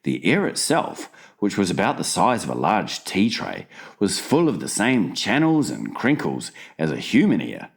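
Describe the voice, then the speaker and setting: A man's voice: sits low, wildly swooping pitch, normal speed, gravelly. A man narrating a children's storybook as a dramatized, scripted audiobook reading.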